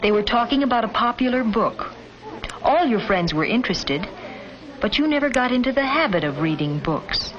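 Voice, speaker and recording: A woman's voice: fairly high pitch, lively intonation, moderate pace, crowd noise. A woman reading scripted narration for a 1950s educational film, addressing the listener directly in an admonishing way. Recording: dull vintage film soundtrack with music. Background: film score music.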